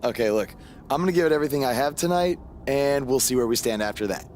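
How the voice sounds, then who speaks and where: Man playing humble young wrestler, sincere, polite, casual, medium-pitched voice, video game cutscene, light background noise. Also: animated, fast talker, a little mellow, lightly acted. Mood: earnest. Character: earnest, humble young male rookie wrestler.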